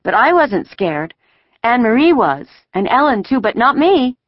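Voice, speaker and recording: Narrator as a little girl of five, high-pitched, loud, stubborn, boastful, chattering, storybook, dry sound. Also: big pitch swings, normal speed, no room sound, dull audio. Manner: loud, self-important chattering.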